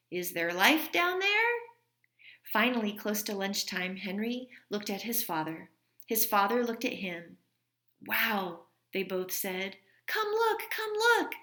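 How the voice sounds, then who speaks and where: A woman reading a children's storybook aloud in an expressive manner, like a teacher. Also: medium pitch, reverberant.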